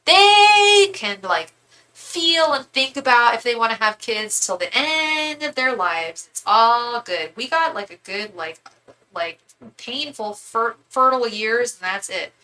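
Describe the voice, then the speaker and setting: Bright-voiced chatty woman in her thirties. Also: a little high, sing-song, slow pace, poor audio. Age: 30s. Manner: chatty, rambling, many asides and restarts. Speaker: tarot reader. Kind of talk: live tarot reading for viewers.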